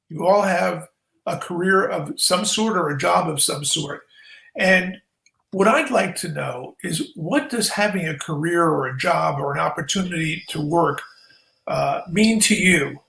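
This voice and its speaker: A man's voice: sits high, a little slow, gravelly, a bit bright. A man co-hosting a panel discussion in an encouraging, appreciative manner, recorded with a roomy echo. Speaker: panel co-host. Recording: roomy, echoing sound.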